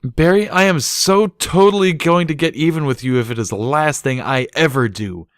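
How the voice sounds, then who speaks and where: A man's voice: on the high side, normal speed, no room sound, clean studio sound, pitched above the performer's own voice, lightly acted. A man voicing an indignant, easily flustered teenage female pony in a storybook reading, with exasperated delivery. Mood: vengeful. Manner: loud, exasperated outbursts.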